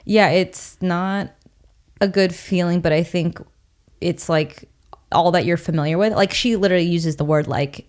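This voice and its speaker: A woman's voice: fairly low pitch, normal speed, darkish tone. A young woman co-hosting a podcast, reflecting in casual chat on ideas from her reading. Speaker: podcast co-host.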